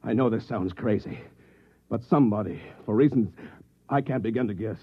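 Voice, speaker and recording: An actor playing an anxious, suspicious husband who sounds edgy and incredulous, in an old-time radio drama style. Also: male voice, on the low side, moderate pace, breathy edge. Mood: alarmed, urgent. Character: anxious, suspicious office husband growing alarmed at reality. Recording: muffled, narrow-band vintage radio recording.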